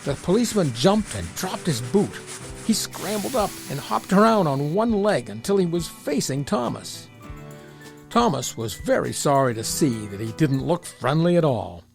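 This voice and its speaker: A man's voice: on the high side, very animated, moderate pace, gravelly, soft background music. A man reading a scripted children's story as the narrator.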